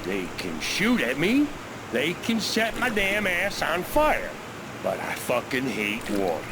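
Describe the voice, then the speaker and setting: An actor playing a hot-tempered, possessive gunslinger in a video game, blunt and commanding. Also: male voice, a little high, wildly swooping pitch, slow, background noise, full character voice. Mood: grumbling. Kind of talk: dramatic video game acting. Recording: loud music over the voice. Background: loud music.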